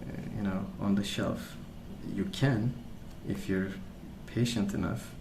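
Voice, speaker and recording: A man rambling casually in a soft-spoken ASMR style, hesitant and meandering as he hosts. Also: lowish voice, takes it slowly, gravelly, echoey room, noisy background. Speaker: ASMR video host.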